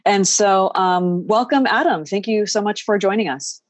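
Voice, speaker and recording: A woman's voice: medium pitch, moderate pace, dry recording. An American woman hosting an interview podcast, chatty and asking follow-up questions. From United States. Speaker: podcast host.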